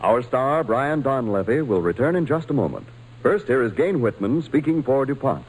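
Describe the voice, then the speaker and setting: A man's voice: mid-range voice, big pitch swings, normal speed, noisy background. A man narrating a 1940s radio drama as its announcer, solemn and grandly sentimental. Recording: old radio recording, loud music.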